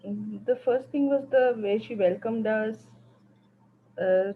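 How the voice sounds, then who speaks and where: A woman's voice: on the high side, takes it slowly. A woman with an Indian accent giving reflective feedback as a participant in a workshop. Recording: tinny phone-like call, some background noise.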